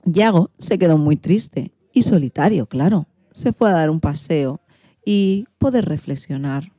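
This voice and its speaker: A woman with a lowish, relaxed voice hosts a radio programme and narrates a children's story. The sound is dry and close. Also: a little slow, no room sound.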